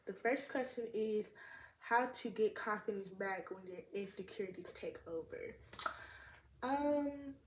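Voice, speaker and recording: A woman's voice: medium pitch, measured pace. A teenage girl with a slight lisp, chatting casually and rambling in slang as a vlogger, recorded on a tablet. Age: teens. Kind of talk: casual chat to camera. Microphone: tablet camera mic. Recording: echoey room, faint noise.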